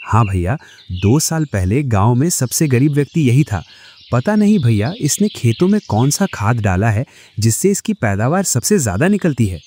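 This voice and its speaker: A man's voice: mid-range voice, big pitch swings, normal speed, mellow, a little hiss or hum, natural voice. A man playing a helpful, gossipy villager in a cartoon moral story, with a folksy, chatty manner. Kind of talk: Hindi animated moral story dialogue.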